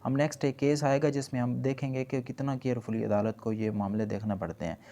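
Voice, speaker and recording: A man with a Pakistani accent lecturing as a law teacher, explaining point by point and mixing in English terms, reading quoted points slowly and deliberately. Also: mid-range voice, steady tone, warm tone.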